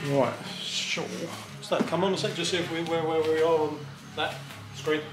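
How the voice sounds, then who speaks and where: A man's voice: fairly high pitch, steady tone. A British man hosting a gym vlog, joking and laughing as he plans the training session. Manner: jokey, laughs often, quick asides. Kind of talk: casual gym chat and instructions. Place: large echoey gym, indoors. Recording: echoey gym with music playing.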